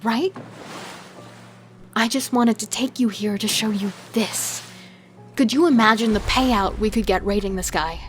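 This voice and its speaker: A woman's voice: medium pitch, a little slow, pauses often, faint music behind, lightly acted. A woman playing a confident, seductive serial killer who schemes and persuades with relish in an audio drama. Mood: excited, scheming. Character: cold, thrill-hungry female serial killer, confident and seductive. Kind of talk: audio drama acting with first-person narration.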